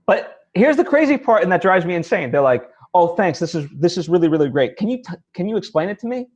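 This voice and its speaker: A man hosting a casual, reflective interview, talking in a halting way and repeating words as he reasons. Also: sits high, sing-song, a little mellow, dull audio. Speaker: interview host.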